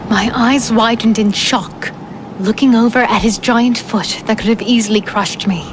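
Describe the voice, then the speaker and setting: A woman's voice: a little high, normal speed, background noise, close to an everyday voice. A woman playing a defiant human fighter, telling her ordeal in first person as dramatic fantasy storytelling. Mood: tense, reflective. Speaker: narrator and heroine.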